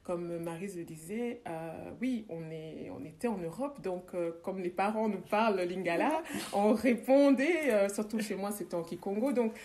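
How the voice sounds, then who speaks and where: A woman's voice: mid-range voice, moderate pace, few pauses, darkish tone. A woman from southern France with a French accent, telling her own story as an interview guest, often repeating phrases for emphasis. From born in DR Congo, grew up in southern France. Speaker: interview guest, nurse and ritual practitioner.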